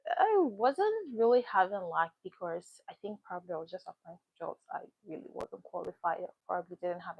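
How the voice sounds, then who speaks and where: A woman's voice: mid-range voice, no room sound. A young woman from Ghana, hosting her own live stream and casually chatting, reading out viewer comments as she goes. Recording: dull dry lo-fi sound.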